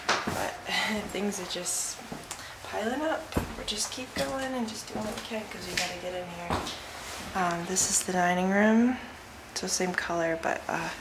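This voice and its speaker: A young woman vlogging casually as she walks through an empty house, rambling with lots of fillers, filming on a handheld camera. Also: medium pitch. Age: young adult. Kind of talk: casual vlog. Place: empty house with bare rooms, indoors. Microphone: handheld camera at arm's length. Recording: echoey empty room, audible noise.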